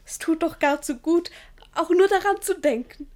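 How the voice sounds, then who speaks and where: Naive young ward, very high girlish voice, artless verse delivery, lovestruck, innocent, radio comedy. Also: normal speed, darkish tone. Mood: dreamy wonder. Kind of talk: classic German radio play, verse comedy acting.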